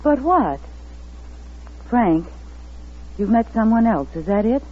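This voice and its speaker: Embittered young secretary, high voice, jilted and turning thief, 1940s radio play, very narrow tinny sound. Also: female voice, sing-song, slow, slightly rough, full character voice. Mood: concerned, probing. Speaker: actress and narrator in a radio play. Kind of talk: 1940s radio drama acting, first-person narration. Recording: tinny vintage radio recording, music behind.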